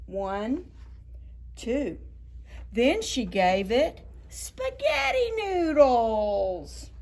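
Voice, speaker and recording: Slow-paced woman reading to preschoolers. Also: a little high. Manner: expressive, deliberate, stretching and stressing key words. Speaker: children's librarian, storytime host. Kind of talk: read-aloud storytelling for preschoolers.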